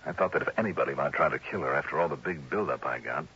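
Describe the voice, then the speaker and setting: Groggy american private eye, deep voice, old radio drama, dull hissy recording. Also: male voice, very fast, sharp tone. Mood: shaken, puzzling. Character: groggy male private investigator, puzzled and earnest. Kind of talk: 1960s radio drama acting.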